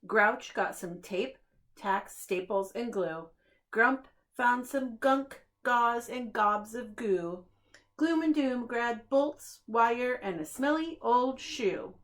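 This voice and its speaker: A woman reading a children's picture book aloud in an expressive, deliberate storytelling manner, as if to young listeners. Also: on the high side. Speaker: preschool staff reader.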